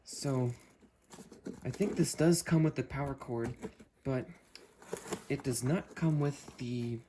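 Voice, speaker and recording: Male unboxing host, mid-pitched, casual hands-on commentary, slight background noise. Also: takes it slowly. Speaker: host unboxing weather radios.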